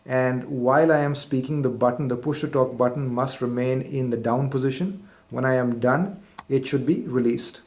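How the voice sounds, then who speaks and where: A man's voice: mid-range voice, moderate pace, darkish tone. Methodical man giving a product demonstration. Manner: methodical, formal, explanatory. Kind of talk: step-by-step instructional demonstration.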